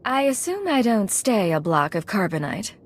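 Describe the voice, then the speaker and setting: A woman playing a stern, composed secret agent in a video game, speaking in terse, measured commands. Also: medium pitch, big pitch swings, faint music behind. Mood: businesslike.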